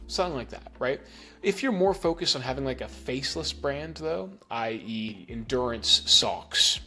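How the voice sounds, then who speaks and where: A man raised in New Zealand with an American accent, casually explaining a software setup step by step and checking in with "okay?" and "right?". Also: medium pitch. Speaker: email marketing instructor. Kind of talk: screen-recorded software tutorial.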